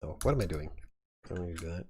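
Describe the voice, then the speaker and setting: A man with a fairly low voice hosts a loose live chat. He rambles, laughs between thoughts and speaks. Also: lively intonation, rapid-fire, a little mellow, a little hiss or hum. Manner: rambling, chuckling often. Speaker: livestream host.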